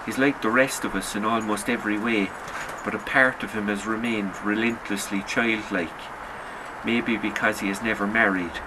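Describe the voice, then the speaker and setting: A man narrates literary fiction as an author would at a reading. His voice sits fairly low, and his delivery is sombre and measured. Clear background noise, like outdoor ambience, runs behind him. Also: steady tone. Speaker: author reading own short story.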